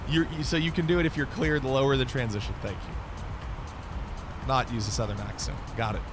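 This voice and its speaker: A man's voice: mid-range voice, steady tone, moderate pace, over music. A man streaming a flight simulator live, chatting casually and answering viewer comments between radio calls.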